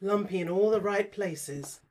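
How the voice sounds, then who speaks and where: A woman's voice: mid-range voice, a little slow, a little mellow, close to an everyday voice. A woman playing a brash, flirtatious, down-to-earth character in a comic audio drama, talking in a chummy, blunt, colloquial way. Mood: flirty.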